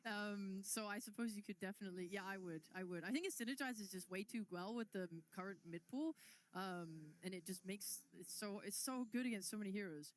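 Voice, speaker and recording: A woman's voice: medium pitch, brisk. A woman working as an esports analyst on a live desk, chatty and laughing between her points.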